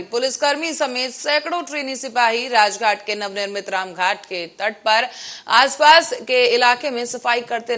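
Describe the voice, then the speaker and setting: A woman's voice: a little high, bright, forceful tone. A woman news anchor reading scripted headlines and reports.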